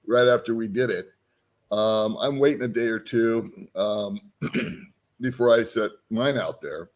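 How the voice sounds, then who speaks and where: A man's voice: medium pitch, darkish tone. A middle-aged man hosting a casual live stream, joking at his own expense and greeting viewers by name.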